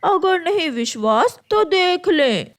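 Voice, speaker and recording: A woman's voice: very high voice, very animated, slow pace, exaggerated voice. A woman voicing a mischievous young boy crow in a children's cartoon, a bossy, demanding, taunting bully. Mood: boastful. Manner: bratty, demanding.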